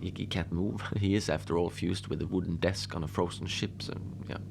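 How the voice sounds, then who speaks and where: A man playing a deadpan lone adventurer who tells his odd tales slowly and conversationally, rambling with halting asides, in a cozy horror audio drama. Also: sits low, gravelly, noisy background. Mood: deadpan, musing.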